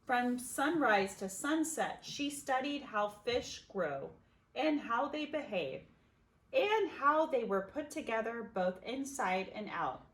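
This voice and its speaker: A woman reading a children's picture book aloud expressively, with dramatic pauses and emphasis. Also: a little high, fairly bright tone, low-quality recording. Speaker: storybook reader.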